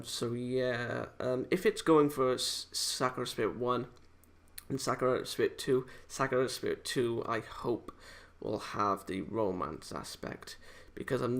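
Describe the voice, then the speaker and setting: A man giving a casual, unscripted review in a halting, hesitant, rambling way, often correcting himself as he goes. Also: mid-range voice. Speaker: amateur video game reviewer.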